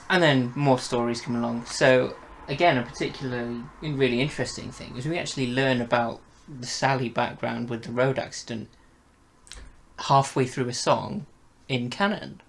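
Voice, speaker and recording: A man's voice: mid-range voice, moderate pace, vocal fry, a little hiss or hum. A man co-hosting a review podcast, talking through details in a casual fan discussion.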